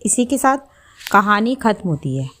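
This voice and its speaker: A woman's voice: medium pitch, big pitch swings, normal speed, fairly bright tone. Woman narrating a story.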